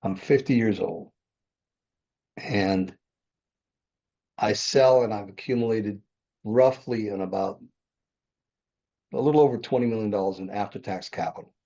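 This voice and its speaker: A man's voice: fairly low pitch, a little slow, long pauses, mellow. A man in his sixties, a podcast guest, telling his business story in a rambling, self-correcting way and chuckling often. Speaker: podcast guest, real estate developer. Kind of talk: conversational interview storytelling. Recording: dull lo-fi podcast audio.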